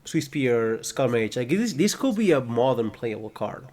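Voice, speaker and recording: A man talks in an easygoing podcast chat at a middle pitch. He strings his thoughts together with constant "like" and "you know". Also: normal speed. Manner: chatty, with a steady stream of "like" and "you know". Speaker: podcast co-host. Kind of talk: casual chat.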